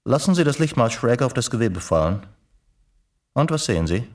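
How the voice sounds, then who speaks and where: A man's voice: mid-range voice, very animated, moderate pace. A man playing an eccentric, erudite English reporter and secret agent in a German radio play, with an urbane, lecturing, sardonic manner. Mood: learned relish. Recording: slightly distant radio play recording.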